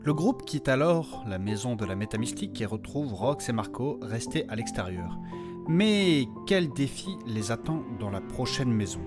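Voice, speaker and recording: A man narrating a scripted fantasy story in a measured, solemn, dramatic way. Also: mid-range voice, sing-song, over music.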